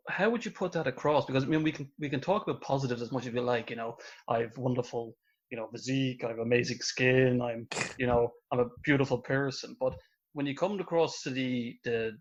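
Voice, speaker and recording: Male interviewer, mid-range voice, casual and a little hesitant, wandering questions, somewhat dull recording. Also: mid-range voice. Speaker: interview host.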